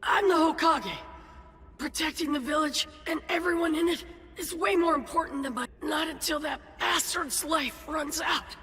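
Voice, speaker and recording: A woman voicing a fiercely determined, brash male ninja village leader in an anime dub, forceful and resolute, with a raspy edge. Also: high-pitched, normal speed, big-room sound. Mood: fiery defiance.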